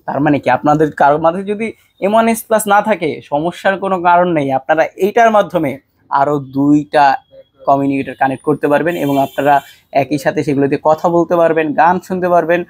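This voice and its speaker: A man with a Bangladeshi accent presenting a product pitch, speaking directly to viewers like a shop salesman. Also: a little fast, no room sound, studio quality. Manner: salesman-like, addresses viewers directly with rhetorical questions. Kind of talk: promotional product pitch to camera.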